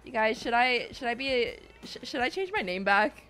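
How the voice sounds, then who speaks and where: A woman's voice: fairly high pitch, very animated, moderate pace, bright, forceful tone, faint music behind. A young woman giving casual, playful, reactive commentary as she streams a video game.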